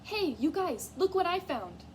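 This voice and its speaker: A woman's voice: very high-pitched, sing-song, unhurried, darkish tone, pitched above the performer's own voice, heavily acted. A woman voicing a spirited, stubborn young girl mouse in a storybook, earnest and emphatic. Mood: excited. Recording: clear background noise.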